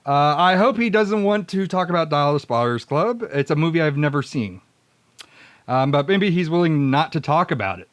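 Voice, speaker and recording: A man's voice: a little high, expressive, normal speed, no echo, voice pushed up, lightly acted. A man acts out a rambling radio drama host, stalling for time and musing aloud. The voice is raised and hesitant, recorded dry and close. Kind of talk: radio drama read-through.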